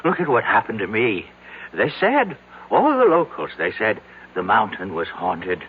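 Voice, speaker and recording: An actor in an old-time radio drama playing a frightened, broken aide aged to seventy, halting and pleading in a frail voice. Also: male voice, on the low side, wildly swooping pitch, slightly rough, heavily acted. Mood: urgent warning. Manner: halting, pleading, repeating himself. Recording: muffled, noisy old radio broadcast recording.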